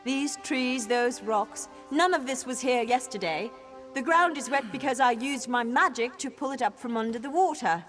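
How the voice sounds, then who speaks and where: A woman's voice: sits high, normal speed, fairly bright tone, soft background music. A woman playing a troubled, emotional young elf girl with magic in a video game. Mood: proud, eager. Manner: emotional, swinging from bitter anger to pleading.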